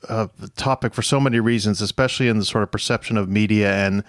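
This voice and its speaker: A man's voice: fairly low pitch, fairly even intonation, fast talker, no room sound, studio quality. A man hosting an interview show, asking questions in a conversational way. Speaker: podcast host interviewing experts.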